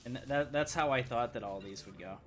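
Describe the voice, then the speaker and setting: A man with a mid-pitched voice gives informal video game commentary. He talks through his play as he goes, thinking aloud in a casual streamer style. Game music is clearly audible behind his voice. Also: a little fast. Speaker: gaming streamer.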